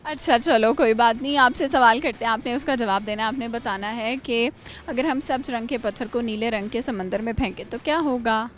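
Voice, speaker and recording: A young woman interviewing students at a college, asking quick teasing follow-up questions in a playful way. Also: a little high, music in the background. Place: college campus.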